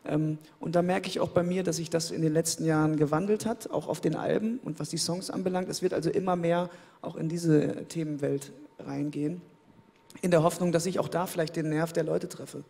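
A man in his thirties, interviewed on a studio stage, speaking into a handheld mic in a relaxed, thoughtful way, often repeating words as he searches for them. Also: fairly high pitch, brisk, creaky. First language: German. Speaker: interviewed pop singer. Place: indoor studio stage, seated in armchair. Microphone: handheld microphone.

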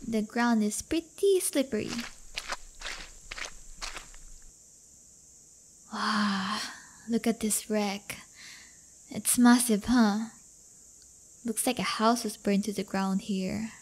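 A woman's voice: mid-range voice, slow pace, slight background noise, lightly acted. A woman playing a brash, playful teenage delinquent girlfriend in an intimate audio roleplay, with slangy, teasing, casual talk. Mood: curious. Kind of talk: audio roleplay acting, intimate one-sided dialogue.

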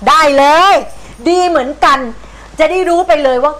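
A woman's voice: medium pitch, sing-song, moderate pace, background noise, close to an everyday voice. An actress playing a stern, bossy old grandmother who scolds dramatically. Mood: furious. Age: older. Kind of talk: television drama acting. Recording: music score behind.

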